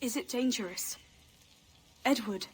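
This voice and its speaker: An actress plays a worried young wife in a game cutscene. Her voice is on the high side, questioning yet gently firm, with faint noise behind it. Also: a little slow, lightly acted. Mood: worried, pleading. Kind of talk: video game cutscene acting.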